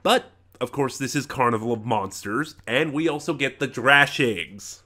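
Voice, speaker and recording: A man reviewing in a casual, unscripted way, opinionated and rambling, halting and correcting himself as he goes. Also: medium pitch, sharp tone. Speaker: reviewer, channel host.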